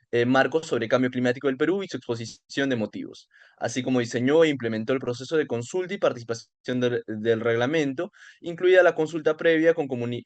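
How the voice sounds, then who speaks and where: Guy moderating, reading out a speaker bio, mid pitch, dry close sound. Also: no echo. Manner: reads steadily with small slips. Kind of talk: reading a formal introduction.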